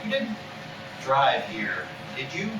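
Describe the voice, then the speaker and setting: A man's voice: medium pitch, big pitch swings, slow, noisy background. A man playing an awkward, concerned young dad with a hesitant way of talking in a comedy table read. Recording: echoey room, distant mic, loud music.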